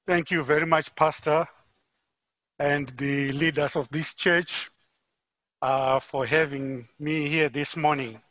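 A Zimbabwean man delivers a sermon in a high, bright voice. He speaks slowly and deliberately and builds up emphasis on his key points. The sound is close and dry. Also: steady tone, dry recording. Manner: measured, deliberate preaching that rises with emphasis. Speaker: guest preacher. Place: church.

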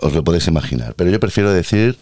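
Low-voiced Andalusian man ranting sarcastically. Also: lively intonation, very fast, darkish tone, no echo, clean studio sound. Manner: ranting, sarcastic, playfully theatrical. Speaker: podcast host and healthcare worker. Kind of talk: casual podcast monologue.

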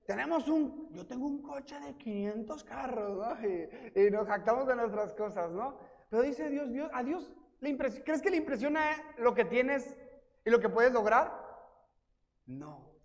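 Mexican pastor preaching in an echoing church. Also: male voice, very high-pitched, measured pace, vocal fry. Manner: lively, self-mocking storytelling with acted-out quotes. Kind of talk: sermon. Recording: reverberant church hall.

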